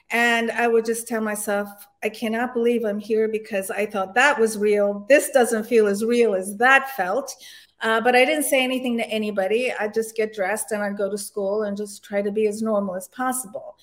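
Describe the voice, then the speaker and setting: A woman from Turkey, a guest on the show, telling a personal story in an earnest, reflective way with frequent pauses. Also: fairly high pitch.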